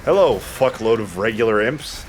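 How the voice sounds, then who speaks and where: A man's voice: lowish voice, expressive, moderate pace, slight background noise. A man giving casual let's play commentary in a playful, self-deprecating way, swearing casually. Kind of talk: casual gameplay commentary with banter.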